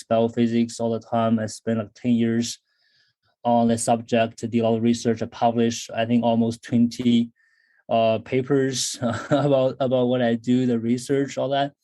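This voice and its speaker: A man in his thirties, originally from China, talks through his career as a podcast guest. His voice is mellow and relaxed, sitting at an ordinary pitch. He pauses often, filling gaps with ums and starting sentences over. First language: Chinese. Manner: thoughtful, frequent fillers and restarts. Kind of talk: casual interview talk.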